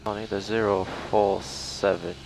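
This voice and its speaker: A young male student pilot chats casually over a small plane's headset intercom. His voice is very deep, with a faint drone of the engine behind it. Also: flat delivery, unhurried, creaky, noisy background. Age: young adult. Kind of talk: casual cockpit talk during flight training. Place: small propeller plane cockpit in flight. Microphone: aviation headset boom mic.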